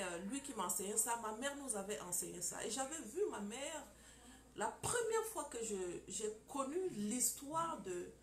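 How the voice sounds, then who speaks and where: Impassioned woman preaching in an echoey room. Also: a little high. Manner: impassioned, repeats phrases, rhetorical questions. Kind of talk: preaching testimony talk. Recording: echoey room with faint ambience.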